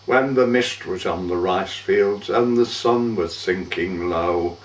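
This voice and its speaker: A man giving a measured, theatrical recitation of a poem as a monologue, drawing out the ends of lines. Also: low voice, a little hiss or hum. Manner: measured, theatrical, drawn-out line endings. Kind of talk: dramatic poetry recitation, monologue. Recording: dull, echoey room, far from mic.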